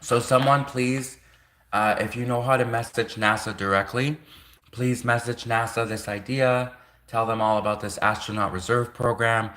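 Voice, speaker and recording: Bright-voiced man, native Russian speaker. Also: medium pitch, fairly even intonation, normal speed. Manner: earnest, rambling, repeats phrases for emphasis. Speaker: livestream host. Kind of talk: casual livestream monologue.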